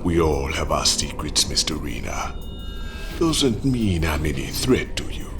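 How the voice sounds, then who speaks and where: An actor playing a menacing, guarded psychic operative in a video game, speaking ominously. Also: male voice, very deep voice, very animated, a little slow, heavily acted. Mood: guarded, defiant. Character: brooding, menacing male psychic covert operative. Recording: music under the voice.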